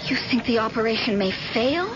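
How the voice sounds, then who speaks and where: An actress playing an anxious, devoted grown daughter in an old-time radio crime thriller, speaking earnestly and insistently. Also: female voice, a little high, lively intonation, moderate pace, music in the background, close to an everyday voice. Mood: worried. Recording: dull, very noisy old radio recording.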